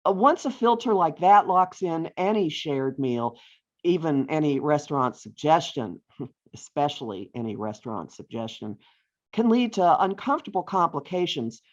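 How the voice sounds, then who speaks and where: A middle-aged or older woman reading her own humorous essay aloud with deliberate phrasing and wry emphasis, as an author on a video call. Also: sits low, animated. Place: virtual author talk over video call. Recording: dull, lo-fi online call audio.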